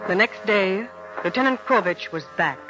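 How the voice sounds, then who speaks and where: A man narrating an eerie 1940s radio drama as a solemn, deliberate storyteller. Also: sits high, lively intonation, music in the background, poor audio.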